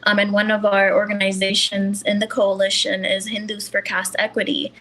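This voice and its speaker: A woman's voice: medium pitch, completely flat delivery, normal speed, sharp tone, low-quality recording. A woman with a Californian American accent, giving earnest interview testimony as an activist, hesitating often as she speaks. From California, USA. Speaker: interview guest, community activist.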